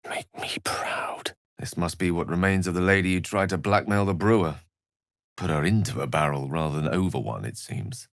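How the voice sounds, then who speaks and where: A man's voice: deep voice, normal speed, gravelly, fairly bright tone, dry recording, studio quality. An actor playing an eloquent, learned wizard in a video game, articulate and bookish, wry and earnest in his florid phrasing. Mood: hushed, then wry, composed. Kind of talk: scripted video game character dialogue.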